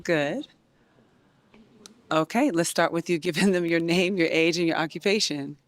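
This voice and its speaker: A woman hosting a dating show, briskly repeating set prompts to the contestants. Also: on the low side, no echo.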